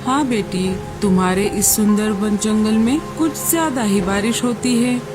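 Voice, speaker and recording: A woman's voice: mid-range voice, unhurried, over music, lightly acted. A woman voicing a kindly, reassuring grandmother bird in a Hindi cartoon. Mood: chatty. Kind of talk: Hindi animated moral-story voice acting.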